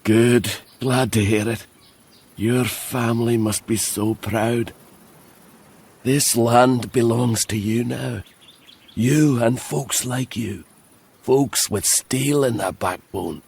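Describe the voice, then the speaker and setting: An actor playing a folksy, approving old man of the American West in a video game, with a husky, unhurried voice. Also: mid-range voice, fairly even intonation, darkish tone, exaggerated voice. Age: older. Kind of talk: dramatic video game acting.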